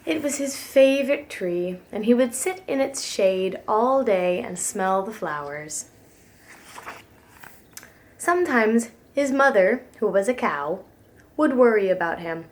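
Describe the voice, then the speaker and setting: A woman reading a children's storybook aloud as a preschool teacher, with gentle, expressive emphasis. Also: mid-range voice, takes it slowly, slight background noise.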